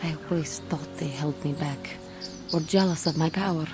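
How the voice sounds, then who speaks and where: A tormented fallen Jedi woman played by an actress speaks in a deep, low female voice. She is proud and bitter, theatrical and intense in the manner of video game drama, over clearly audible music. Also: normal speed, lightly acted. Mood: bitter, despairing. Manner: intense, theatrical, formal phrasing.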